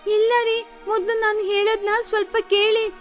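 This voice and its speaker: A woman's voice: very high-pitched, level tone, moderate pace. A woman voicing a hot-tempered young female bird who sells pickles and quarrels with customers. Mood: defensive. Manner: sharp-tongued, quarrelsome. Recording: faint music behind.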